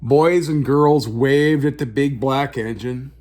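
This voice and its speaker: A man's voice: mid-range voice, takes it slowly, darkish tone. A man hosting story time, reading a picture book aloud with dramatic phrasing and talking directly to young listeners. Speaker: children's story reader, host.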